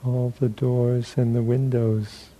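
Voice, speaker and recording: A man leading a guided meditation as a teacher, speaking in short, unhurried phrases with long pauses between them. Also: mid-range voice, level tone, warm tone, rough recording.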